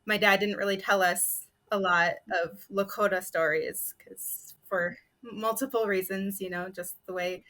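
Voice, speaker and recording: Female storyteller panelist, bright forward tone, mid pitch, conversational and halting. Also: steady tone. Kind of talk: casual personal reflection.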